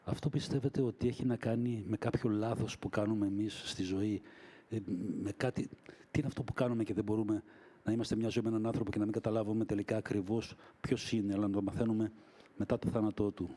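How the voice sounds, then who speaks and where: Fast-talking low-voiced man. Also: steady tone, mellow. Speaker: audience member. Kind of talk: live Q&A question.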